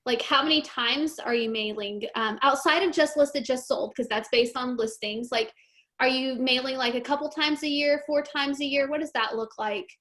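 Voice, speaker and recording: A woman's voice: sits high, normal speed, a bit bright. A woman hosting an interview, chatty and enthusiastic, peppering her talk with "like". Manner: enthusiastic, chatty, says like a lot. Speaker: interview host. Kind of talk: casual interview.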